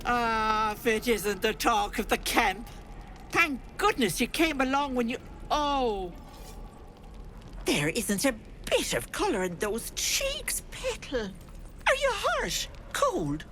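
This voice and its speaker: A woman's voice: a little high, very animated, slow, a bit bright, a little hiss or hum, heavily acted. An actress voicing a fussing old woman, a disguised hag, in sugary, doting, old-fashioned tones. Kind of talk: video game dialogue. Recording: faint music behind.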